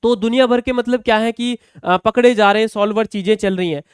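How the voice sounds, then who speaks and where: A man's voice: high voice, steady tone, fairly quick, smooth, no room sound, studio quality. A man explaining exam news to students as a coaching teacher, conversational and often checking in with short tag questions.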